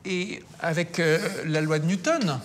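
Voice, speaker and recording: A man explains science for a documentary, his voice slightly high. He builds the picture step by step, with small hesitations. Faint noise sits in the background. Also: measured pace.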